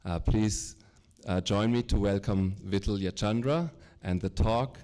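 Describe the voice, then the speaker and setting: A middle-aged man with a low, mellow voice introduces a guest lecturer. He speaks formally but haltingly, pausing often on fillers and starting phrases over. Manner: hesitant, with many fillers and halting restarts.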